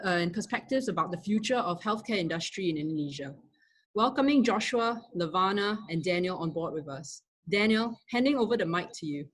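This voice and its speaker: A woman hosts a webinar with formal, prepared announcements. Her voice sits at a middle pitch and has a slightly husky, less polished tone. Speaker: event host.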